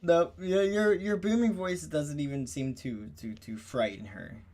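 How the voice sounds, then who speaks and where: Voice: medium pitch, unhurried, a little mellow. A game master narrating a tabletop role-playing game in vivid detail, often restarting phrases.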